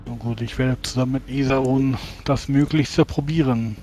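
A man playing a tabletop role-playing game, talking in character in a measured, deliberating way. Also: mid-range voice, steady tone, a little mellow, soft background music.

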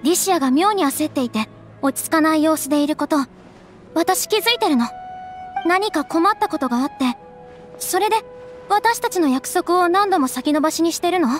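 A woman voicing a gentle, caring young noblewoman who speaks softly and politely in a game cutscene. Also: very high voice, lively intonation, normal speed, soft background music. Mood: earnest. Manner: soft, polite, refined. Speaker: game character.

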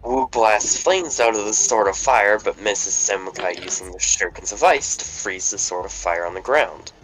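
A man's voice: fairly low pitch, moderate pace, fairly bright tone, poor audio. A man reading the stage directions aloud as narrator of a table read, in a plain, matter-of-fact way. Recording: music under the voice.

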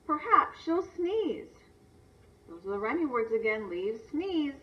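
A woman's voice: high-pitched, sing-song, a little slow. A woman teacher giving a read-aloud lesson for young children, instructional, stressing words and asking simple questions. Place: video lesson played on a screen and re-recorded. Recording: distant, dull replay through a screen's speaker.

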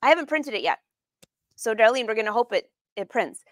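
A woman's voice: on the high side, lively intonation, brisk, no echo, studio quality. A middle-aged woman hosting a casual live craft demonstration, chatting informally and putting questions to her viewers. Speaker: host of a live sewing lesson. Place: sewing studio room, indoors.

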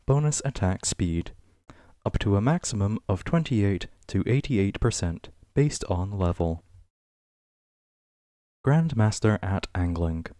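A man reading reference text aloud for ASMR in a measured, list-like way, with pauses between items. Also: lowish voice, dark tone, dry recording, studio quality. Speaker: ASMR reader. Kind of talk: ASMR reading of a game wiki page.

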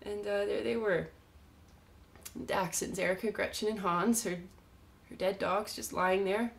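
A woman performing a theatrical monologue as an unstable, self-loathing young woman, confessing in a halting, volatile way. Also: medium pitch, steady tone, creaky. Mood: tense confessing. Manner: halting, stammering confession swinging between whispers and cries.

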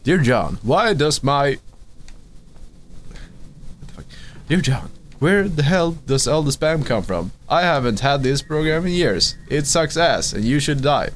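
A man from Sweden trades laid-back jokes over a video game in slow, drawn-out phrases. His pitch rises and falls widely as he goes, and a faint hiss sits under the recording. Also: expressive. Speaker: let's play commentator. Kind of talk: casual gameplay commentary.

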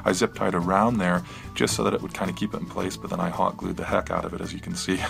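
A man's voice: level tone, quick pace, gravelly, fairly bright tone, soft background music. An American man giving a casual, hesitant, off-the-cuff walkthrough of his DIY project. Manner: hesitant, off-the-cuff, low-key.

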